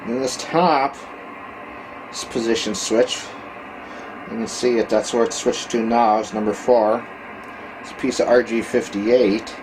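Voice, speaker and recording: A man giving a casual, hands-on video walkthrough as a hobbyist, explaining technical gear in a matter-of-fact, halting way into a handheld camera. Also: medium pitch, steady tone. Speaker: ham radio hobbyist showing his equipment. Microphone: handheld camera microphone. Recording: clearly noisy handheld camera recording.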